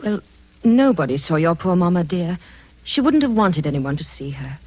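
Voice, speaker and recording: A woman's voice: lowish voice, big pitch swings, moderate pace, lo-fi sound. An actress playing a tender, anxious lady in an old-time radio drama, theatrical and earnest. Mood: gentle, coaxing. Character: anxious, tender lady who grows fearful and insistent. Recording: vintage radio drama recording.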